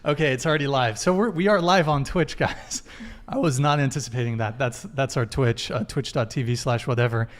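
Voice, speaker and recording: Young dude running a live podcast, jokey, kinda scattered. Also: male voice, medium pitch, fairly quick, hardly any pauses. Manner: loose, joking, stumbles over tech mishaps. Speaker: podcast host. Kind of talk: live stream announcements, casual.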